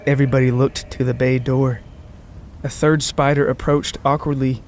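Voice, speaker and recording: A man's voice: mid-range voice, level tone, moderate pace, a little mellow, soft background music. A man reading scripted fiction as the narrator of an audio story.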